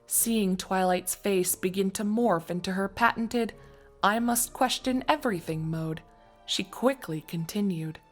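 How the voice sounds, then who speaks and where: A woman reading scripted story narration in an expressive, wry storytelling manner. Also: mid-range voice, measured pace, faint music behind. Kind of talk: scripted fan fiction narration.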